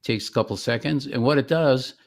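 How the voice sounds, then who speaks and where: A man giving an informal screen-share demonstration as the presenter of a software product. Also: medium pitch, brisk.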